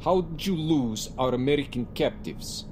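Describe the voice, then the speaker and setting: A man playing a cold, condescending Russian professor villain with a measured, disdainful manner in an audio drama. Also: fairly high pitch, background noise, natural voice. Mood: cold, menacing.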